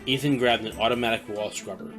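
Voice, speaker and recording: Mid-pitched male narrator over faint music. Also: quick pace, a bit bright. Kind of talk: scripted audio drama narration.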